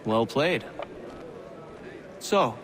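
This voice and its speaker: An actor playing a wry, evasive prison trickster in a video game, playful and dry in manner. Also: male voice, mid-range voice, expressive, very slow, a crowd in the background. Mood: dry, skeptical. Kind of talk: video game cutscene acting.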